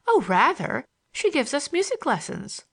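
A woman's voice: high-pitched, very animated, a little slow, creaky, voice pushed up, heavily acted. A woman voicing a playful, cheeky teenage schoolgirl with romantic notions, chattering in a teasing, girlish way for an audiobook. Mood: breezy, amused.

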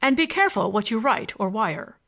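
A woman's voice: medium pitch, lively intonation, fairly quick, gravelly, a bit bright. A woman voicing an impatient, imperious, scheming businessman with a curt, commanding manner in an audiobook.

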